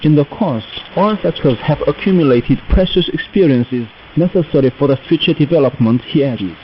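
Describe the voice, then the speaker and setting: A man reading scripted news as a radio announcer in a formal, measured manner. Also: a little high, expressive. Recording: muffled shortwave radio with hiss.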